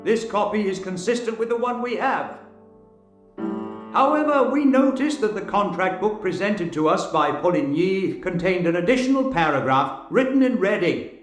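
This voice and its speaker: An earnest opera manager, played by a man, tells his tale formally in a high, very animated voice. It is theatrical audio drama narration in an echoing space, with faint music. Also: moderate pace. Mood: intrigued, storytelling. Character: earnest, literary new opera manager recounting events. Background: faint guitar music.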